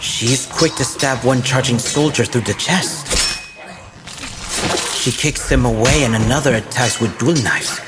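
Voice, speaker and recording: Male actor as team mechanic, first-person action narrator, mid-pitched, audio drama, loud music behind. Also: measured pace, natural voice. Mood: tense narrating. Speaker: character narrator.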